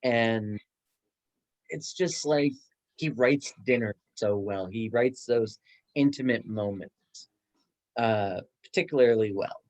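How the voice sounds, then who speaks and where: A man's voice: medium pitch, takes it slowly, darkish tone, muffled recording. A man chatting casually and enthusiastically in a book discussion. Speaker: book club guest, bookstore worker.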